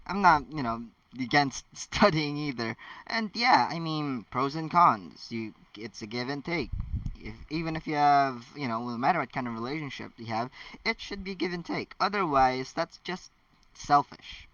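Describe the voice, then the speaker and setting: Bright-voiced man reading, set back from mic. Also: on the high side, animated, normal speed, dry recording, far from the mic, lo-fi sound. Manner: chatty, joking, rambling. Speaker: host of a fan fiction reading channel. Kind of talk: casual story read-aloud with chatty asides.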